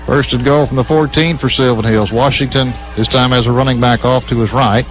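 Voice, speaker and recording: A male radio announcer delivers live football play-by-play at a middling pitch. He follows the action as it unfolds, in the manner of a game broadcast. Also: steady tone, brisk, soft background music, low-quality recording.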